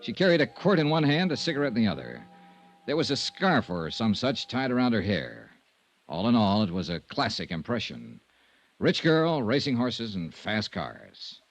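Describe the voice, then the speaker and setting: An actor playing a dogged, wry insurance investigator in old radio noir, direct and skeptical. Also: male voice, mid-range voice, huge pitch swings, normal speed, highs cut off. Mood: clipped noir narration. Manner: hard-boiled, direct, skeptical. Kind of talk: 1950s radio noir acting and narration.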